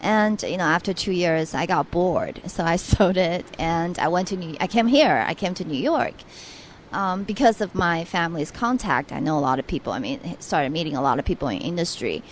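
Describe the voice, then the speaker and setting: Soft-voiced woman from Taiwan. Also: lowish voice, animated, moderate pace, slight background noise. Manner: earnest, hesitant with restarts. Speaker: guest. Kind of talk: radio interview.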